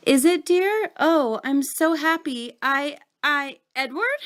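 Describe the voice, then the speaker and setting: A woman's voice: high voice, animated, takes it slowly, a bit bright, full character voice. A woman playing a besotted young wife in a horror comic drama, speaking in a theatrical, melodramatic way. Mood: sweet, doting. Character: besotted young wife, cold at first, then gushing with adoration.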